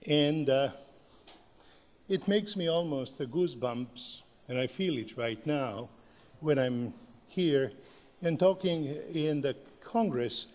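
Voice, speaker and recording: A man's voice: on the high side, lively intonation, a little mellow. A middle-aged man from Serbia, a professor, gives a conference lecture in English with a Serbian accent, speaking unhurriedly with many filler sounds. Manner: unhurried, frequent uh fillers, plain non-native phrasing. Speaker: professor giving a conference keynote. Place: large conference hall. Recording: long reverb of a large hall.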